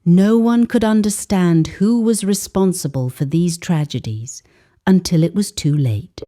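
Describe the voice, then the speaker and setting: Slow, mellow woman telling a dark folktale. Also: fairly low pitch. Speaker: storyteller. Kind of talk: dramatic scripted folktale narration.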